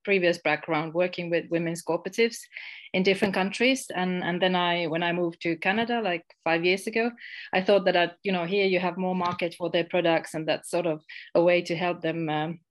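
A middle-aged woman from Finland, a guest speaking casually in an interview, pausing and restarting often. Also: fairly low pitch, fairly even intonation. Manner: halting, restarts often, many fillers. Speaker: guest, social enterprise founder.

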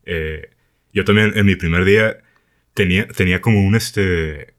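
Deep-voiced Mexican podcast host. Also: male voice, normal speed. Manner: relaxed, slangy, full of fillers. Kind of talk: casual podcast chat.

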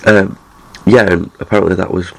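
Male podcast host, very low voice, stuffy nose from a cold, rambling chatty delivery. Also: little pitch movement, moderate pace, gravelly, fairly bright tone, low-quality recording. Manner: rambling, chatty, many restarts. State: nasal from a cold. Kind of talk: casual chat.

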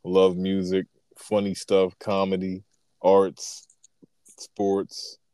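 Podcast guest: man, very low deep voice, dry close sound, sarcastic joker. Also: completely flat delivery, very slow, dark tone, smooth, dry recording. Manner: sarcastic jokester, laid-back slangy talk. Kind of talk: casual conversation.

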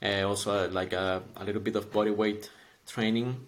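A man's voice: fairly low pitch, flat delivery, a little fast. Costa Rican man, friendly and chatty. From Costa Rica. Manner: friendly, chatty, laughs often. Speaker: podcast guest, calisthenics athlete. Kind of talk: casual interview.

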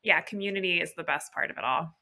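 A woman with a New Jersey accent, a podcast guest chiming in with quick remarks. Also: lowish voice, vocal fry. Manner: lively, chiming in. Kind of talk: casual chat.

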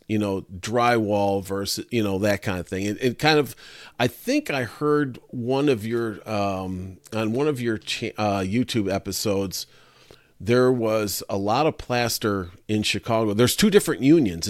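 A man's voice: fairly low pitch, measured pace, dry recording, clean studio sound. A man hosting an interview, chatting casually and speaking directly to the listeners. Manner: conversational, addresses listeners directly. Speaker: interview host.

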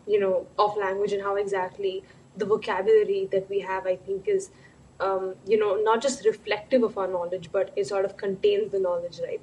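A woman's voice: medium pitch, mellow, slight background noise, rough recording. A woman interviewing a scholar, asking long, reflective questions that she frames carefully before getting to the point.

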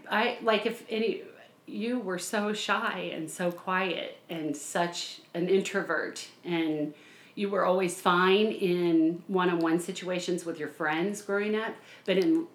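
A woman in her forties with a low, nasal voice, chatting casually and self-deprecatingly as a mother on a podcast. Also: fairly bright tone. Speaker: mother of the host, podcast guest. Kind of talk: casual chat. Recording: roomy echo.

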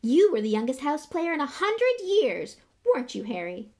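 A woman voicing an excitable young schoolboy fan who gushes questions in an eager, breathless rush. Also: sits high, moderate pace, pitched above the performer's own voice, exaggerated voice. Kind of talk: audiobook character voice.

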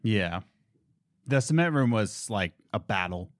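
Male podcast co-host, casual, lively pitch jumps, dry close-mic sound. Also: measured pace, no echo. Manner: swings between low easygoing talk and high excited bursts. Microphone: studio podcast mic.

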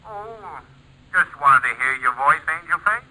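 A man's voice: on the high side, expressive, measured pace, pushed and bright, full character voice. A man with an American accent playing a wry, teasing cargo pilot over the telephone in a 1940s radio drama. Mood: playful teasing. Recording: vintage radio, telephone-like sound.

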